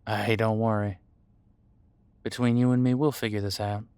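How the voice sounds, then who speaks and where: Guy playing a chill demon homicide cop, lowish voice, folksy n reassuring, pauses a bit, really dry close recording. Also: flat delivery, darkish tone, smooth, no room sound, close to an everyday voice. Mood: confident, reassuring. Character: easygoing male demon homicide detective mentoring new partner. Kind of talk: audio roleplay drama, conversational monologue.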